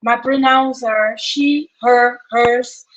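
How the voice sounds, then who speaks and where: A woman in her thirties from Brazil with a Brazilian Portuguese accent, giving a careful, measured reading of an academic talk from her home office. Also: a little high, steady tone. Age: 30s. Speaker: anthropologist, postdoctoral researcher. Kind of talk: read academic conference talk. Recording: muffled lo-fi video recording.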